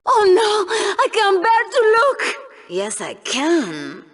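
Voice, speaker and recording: A woman's voice: extremely high pitch, huge pitch swings, slow pace, exaggerated voice. A woman voicing a catty, bloodthirsty arena co-anchor in a video game, cutting and mock-sweet in her game show commentary. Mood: gleeful, mock-dramatic.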